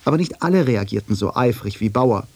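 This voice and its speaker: A man's voice: lowish voice, expressive, brisk, gravelly, darkish tone, husky, no echo. A man narrating a scripted radio documentary in dialogue form.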